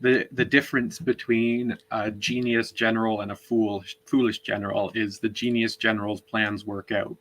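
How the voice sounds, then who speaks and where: A man's voice: lowish voice, level tone, moderate pace, few pauses, fairly bright tone, low-quality recording. A man co-hosting a book read-along, discussing and reading passages aloud.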